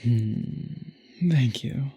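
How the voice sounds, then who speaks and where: A man playing a possessive, doting male vampire in an intimate ASMR roleplay, speaking formally in a husky, breathy murmur that often drops to a whisper. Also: medium pitch, extremely slow, warm tone, muffled recording, heavily acted. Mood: apologetic, doting.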